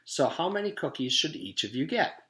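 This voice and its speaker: A patient, kindly lady teacher from a children's storybook, acted out by a man. The voice lifts above ordinary narration and swings expressively through clear, encouraging classroom questions. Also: fairly high pitch, very animated, moderate pace, a bit bright, pitched above the performer's own voice. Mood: patient, cheerful. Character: nice, patient woman schoolteacher.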